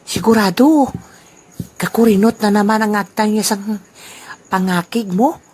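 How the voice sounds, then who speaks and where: A woman's voice: mid-range voice, slightly rough. A woman voicing a sly old man who sells off a friend's things and chuckles at his scheme. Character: sly old man selling stolen goods. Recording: noisy amateur recording.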